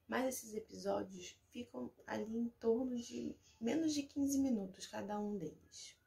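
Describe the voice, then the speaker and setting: A woman's voice: medium pitch, gravelly, a little mellow. A young adult woman from Brazil hosting a casual video and recommending shows to language learners in a chatty, explanatory way. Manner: chatty, explanatory, reads synopses aloud. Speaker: Spanish teacher and video host.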